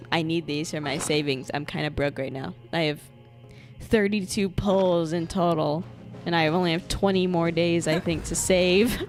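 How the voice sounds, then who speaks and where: A woman giving casual, playful, reactive commentary as a game livestreamer, often giggly. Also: fairly low pitch.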